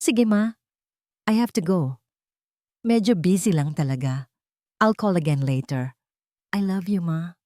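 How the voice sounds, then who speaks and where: Woman playing a hurried, affectionate Filipino grown son. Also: on the low side, lively intonation, mellow, dry recording, studio quality. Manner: hurried, caring. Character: busy, affectionate grown son, successful engineer. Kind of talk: storybook character voice.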